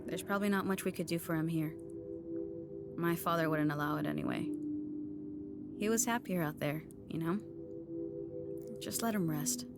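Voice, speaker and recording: A woman playing a grieving, sharp-tongued young female agent in an audio drama, blunt and defiant in manner. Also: deep voice, moderate pace, pauses often, over loud music. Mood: resigned. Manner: blunt, sarcastic, swearing. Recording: noisy ambience behind the voice.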